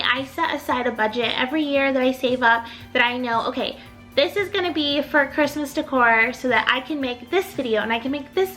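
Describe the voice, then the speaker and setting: Young woman decor vlogger, high bright voice, talkative and rambling, slightly off from a recent illness, soft music behind. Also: poor audio. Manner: chatty, rambling, upbeat, lots of "like". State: recovering from illness, voice a little off. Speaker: home decor YouTuber. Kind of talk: casual chatty vlog.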